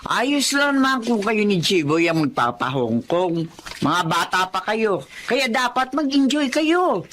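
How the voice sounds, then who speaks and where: An actress playing a caring, self-effacing middle-aged Filipino mother in a radio drama, with a gentle, motherly manner. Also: medium pitch, big pitch swings, a little slow, slight background noise. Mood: kindly.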